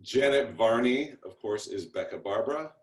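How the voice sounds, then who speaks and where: A man speaks from an echoey room on a video call. His voice sits at a medium pitch, and he talks casually and haltingly, with a self-deprecating manner. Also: animated. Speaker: TV series creator introducing his cast. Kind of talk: casual spoken interview.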